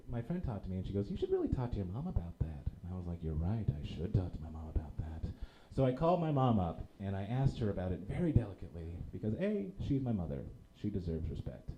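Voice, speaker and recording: Male stand-up comic, self-deprecating, lively pitch, live club recording with background noise. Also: brisk, dull audio. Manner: self-deprecating, lively delivery with drops into low deadpan for punchlines. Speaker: stand-up comedian. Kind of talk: stand-up comedy set. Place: small comedy theater stage. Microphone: handheld microphone. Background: audience laughter.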